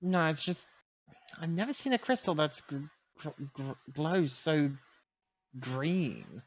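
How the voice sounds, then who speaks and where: A man's voice: a little high, animated, slow, highs cut off. A man chatting casually as a player in a tabletop roleplaying game.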